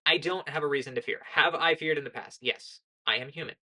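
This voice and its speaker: A young American woman giving casual political commentary in a monotone voice, earnest and lecturing, often correcting herself mid-sentence. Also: low-pitched, a little fast. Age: young adult.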